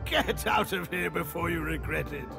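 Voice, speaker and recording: A man's voice: on the high side, normal speed. An actor playing a surly, hungover drunk man who has been squatting in an inn room, grumbling in a belligerent slur. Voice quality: gravelly. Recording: game soundtrack under the voice. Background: music.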